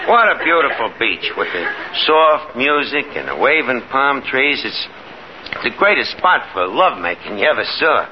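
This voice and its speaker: Male actor as word-mangling New York tavern manager, blustering 1950s radio comedy, very animated delivery, muffled hissy broadcast. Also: medium pitch, normal speed, lightly acted. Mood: boastful, dreamy. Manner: pompous, grumbling, mangles words. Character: blustering, scheming tavern manager, a man full of malapropisms. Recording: noisy, muffled old radio broadcast recording.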